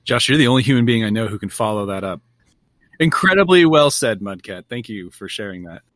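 A man hosts a casual podcast, asking open questions and passing the floor to the others. His voice sits at a mid pitch, and the recording is dry and close. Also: lively intonation, brisk, fairly bright tone, dry recording. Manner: friendly, prompting questions. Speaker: podcast host. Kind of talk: casual discussion.

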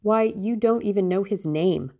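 A woman's voice: medium pitch, normal speed, dark tone, pitched above the performer's own voice, natural voice. A woman voicing a morose, protective teenage brother in an audiobook, blunt and questioning. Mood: suspicious. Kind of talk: audiobook character acting.

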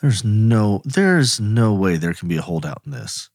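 Lowish-voiced man in casual podcast chat. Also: lively intonation, measured pace, vocal fry, a little mellow, dry recording, studio quality. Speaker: podcast co-host.

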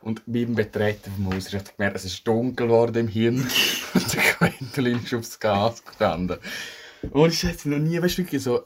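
A man's voice: medium pitch, moderate pace, background noise. A young man with a Swiss German accent chatting casually as a podcast co-host, rambling, searching for words and laughing often. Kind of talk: casual chat.

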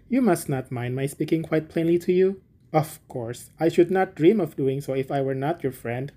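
A man's voice: on the high side, warm tone, lightly acted. A man voicing a smug, sanctimonious miller in a storybook, pompous and preachy in his delivery. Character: smug, sanctimonious, self-serving male miller. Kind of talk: storybook character voice.

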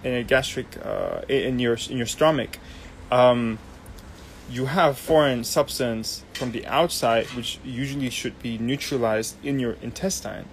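A man's voice: medium pitch, steady tone, unhurried, slight background noise, poor audio. A young man from Germany, hosting a casual live Q&A and explaining things loosely with many ums and restarts. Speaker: host. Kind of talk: casual live Q&A, answering viewer questions.

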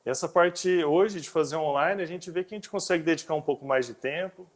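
Halting, slightly high-voiced male host. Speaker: interview host. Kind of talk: casual interview chat.

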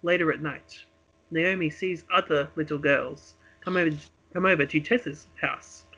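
A man's voice: fairly high pitch, fairly even intonation, moderate pace, bright, forceful tone, breathy edge, highs cut off. A man reading a script aloud as narrator of a homemade radio play, with dramatic storytelling delivery. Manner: dramatic present-tense storytelling.

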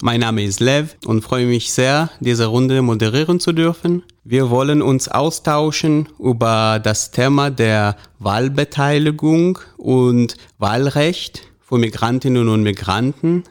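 A man moderating a radio panel, hesitant and filling pauses. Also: mid-range voice. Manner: hesitant, with frequent fillers.

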